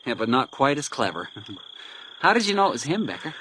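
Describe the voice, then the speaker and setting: A man's voice: medium pitch, sing-song, a little fast, sharp tone, slight background noise, low-quality recording. An actor playing a sadistic, theatrically playful male villain in a radio crime drama. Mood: mocking menace. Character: sadistic, taunting male villain who plays deadly games.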